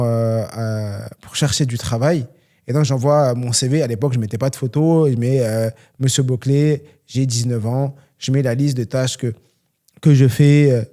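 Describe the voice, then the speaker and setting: Earnest man with a mellow voice. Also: mid-range voice. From France. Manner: earnest, motivational, many fillers. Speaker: podcast host and trainer. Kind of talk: solo Q&A podcast talk.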